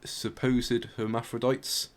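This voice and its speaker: A man hosting a podcast, informally explaining music history in a halting way as he searches for words. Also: medium pitch, little pitch movement. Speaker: podcast host.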